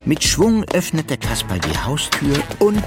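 A man narrating a children's radio play with lively storytelling. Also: a little high, unhurried. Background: music.

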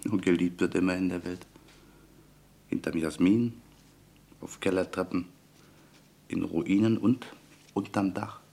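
Casting: young wartime resistance man in a radio drama; low, gravelly, hushed, tender and wry. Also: fairly even intonation, unhurried, long pauses. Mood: musing, intimate. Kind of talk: German radio play acting, intimate dialogue and narration. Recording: faint background noise.

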